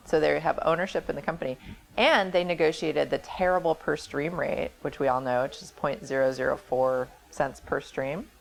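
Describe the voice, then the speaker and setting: Low-voiced woman with some creak. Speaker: record label president, interview guest. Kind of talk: informal explanatory interview talk.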